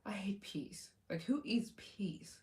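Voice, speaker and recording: Echoey, slow-talking woman livestreamer. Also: medium pitch, lively intonation, darkish tone. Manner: chatty, greets viewers and thanks donors; motivational, talks about risk taking. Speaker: livestream host. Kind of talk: casual livestream chat. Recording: echoey room.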